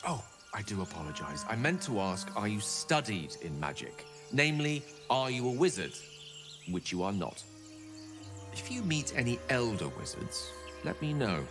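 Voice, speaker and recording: Scholarly wizard, lowish lively intonation, lofty, precise, fantasy game, reverberant, music behind. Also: male voice, moderate pace. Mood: politely condescending. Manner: lofty, precise, politely condescending. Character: erudite, smugly polite male wizard. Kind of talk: cinematic fantasy game acting.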